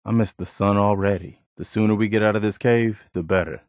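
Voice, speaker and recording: A man's voice: on the low side, steady tone, fairly quick, a little mellow, dry recording, clean studio sound, close to an everyday voice. A man voicing an earnest, watchful, plain-spoken militia soldier companion in a post-war video game. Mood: wary, low-key. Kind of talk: scripted game companion dialogue.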